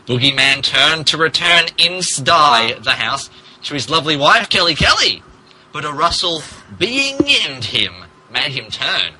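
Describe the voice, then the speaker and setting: A man's voice: a little high, big pitch swings, a little slow, pushed and bright, slight background noise, poor audio. A man narrating a comic dramatic reading of fan fiction in a theatrical, emphatic manner. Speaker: podcast host reading as narrator.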